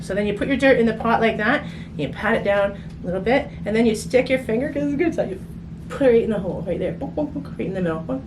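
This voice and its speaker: Playful lady doing a kids craft demo, chatty and thinking out loud, mic kinda far, noisy. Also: mid-range voice. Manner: playful, chatty, thinks aloud with childlike sound words. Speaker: children's story reader and craft host. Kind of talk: casual craft demonstration for children. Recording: distant mic, clearly noisy room.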